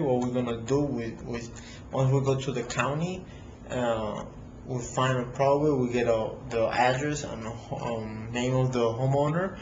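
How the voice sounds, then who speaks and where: Man, Latin American Spanish accent, halting casual talk, noisy background, echoey room. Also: mid-range voice, muffled recording. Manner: halting, many fillers and restarts. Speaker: real estate investor vlogger. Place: his home, at his computer.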